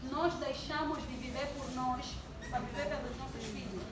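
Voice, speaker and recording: Young woman in her twenties, high voice, preaching to a congregation and calling for responses; recorded from a distance with room noise. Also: normal speed. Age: 20s. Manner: asks the audience questions and draws responses. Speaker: church youth speaker. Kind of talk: sermon-style talk to a congregation. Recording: distant mic in an echoey hall with background noise.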